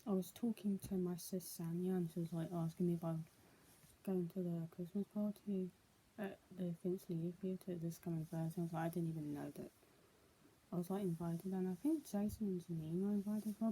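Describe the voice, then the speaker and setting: A woman's voice: fairly low pitch, dark tone, a little hiss or hum, poor audio. A young adult woman, speaking as a vlogger in a casual, homemade style. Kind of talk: casual vlog, reading news aloud and chatting.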